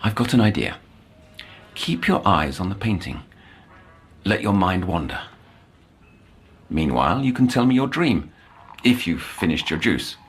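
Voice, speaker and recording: Audio drama: male counsellor, polite yet probing, formal, low-pitched, very animated inflection. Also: slightly rough, full character voice. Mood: coaxing, controlled. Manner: formal, measured, coaxing, precise. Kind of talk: scripted audio drama acting.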